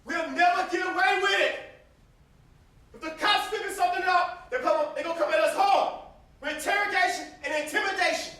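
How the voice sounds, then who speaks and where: A man's voice: very high-pitched, steady tone, fairly quick, sharp tone. A young man acting an anguished, conscience-stricken brother in a stage play, earnest and pleading. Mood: anxious. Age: young adult. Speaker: student stage actor. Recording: distant, very echoey, noisy stage recording.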